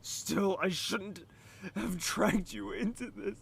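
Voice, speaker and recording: A performer in an audio drama playing a traumatised young prince, pleading and despairing, with raw emotion and halting delivery. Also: mid-range voice, creaky, husky, heavily acted. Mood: subdued. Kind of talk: audio drama acting with inner monologue.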